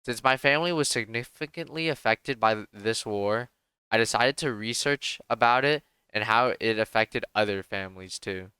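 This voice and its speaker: A man's voice: on the low side, moderate pace, pushed and bright, clear, resonant tone, dry recording. A young man born in Vietnam, reading his research presentation aloud from a script and stumbling on a word now and then. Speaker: student presenter.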